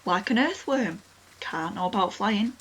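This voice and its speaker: A woman's voice: medium pitch, lively intonation, measured pace, a bit bright. A young woman acting a reasonable, questioning partner in an audio drama. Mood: questioning, coaxing. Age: young adult. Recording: faint background noise, home recording.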